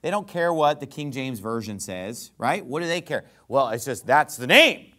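A pastor preaching an emphatic sermon in a church, asking the congregation rhetorical questions. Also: male voice, mid-range voice, very animated, normal speed, sharp tone.

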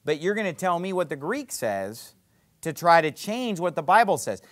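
Male pastor, high-pitched, emphatic sermon delivery in church, sarcastic asides. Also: very animated, normal speed. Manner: emphatic preaching, swinging between loud peaks and low drops.

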